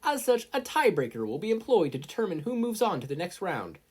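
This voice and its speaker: A commanding woman referee, as acted out by a narrator, pitched well up and projected loudly. She calls out matches with authority, as in an audiobook. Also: very animated, brisk, slightly rough. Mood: announcing. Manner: loud, projected announcing.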